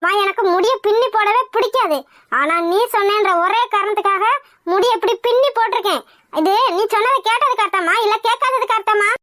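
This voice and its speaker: A woman's voice: very high voice, a little fast, a bit bright. A woman voicing an exasperated young granddaughter who talks back. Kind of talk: comedy skit.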